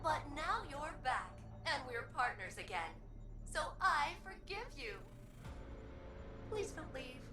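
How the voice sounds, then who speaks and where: A woman's voice: high voice, sing-song, slow pace, full character voice. An actress playing an eager, needy female ship computer in a video game, with a perky and formal manner. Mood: chirpy, needy. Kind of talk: animated video game acting. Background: music.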